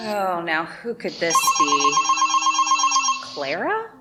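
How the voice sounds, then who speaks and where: A bitter middle-aged woman in a radio drama, with a low voice that swings expressively. She grumbles sardonically, full of irritable self-pity. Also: wildly swooping pitch, slow pace, heavy background noise, full character voice. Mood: bitter grumbling. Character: bitter, aging female radio co-host, self-pitying and irritable. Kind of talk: radio play acting.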